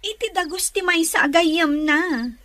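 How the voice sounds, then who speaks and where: A woman's voice: very high-pitched, unhurried, pushed and bright, exaggerated voice. A woman playing a whiny, anxious young daughter pleading in an Ilocano radio drama. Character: whiny, anxious daughter waiting up for her sweetheart.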